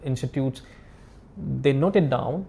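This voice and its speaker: A man with a creaky, mellow voice explains things as an expert guest. His English is halting and repetitive, with non-native phrasing, and a faint low rumble of noise sits behind him. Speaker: diamond expert, interview guest. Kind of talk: casual expert explanation in interview.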